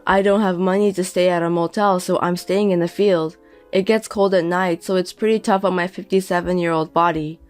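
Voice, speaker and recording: A woman voicing a 57-year-old indebted deadbeat father who pleads and pities himself, speaking slowly. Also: lowish voice, steady tone, soft background music, close to an everyday voice. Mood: self-pitying. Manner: pleading, self-pitying, slow.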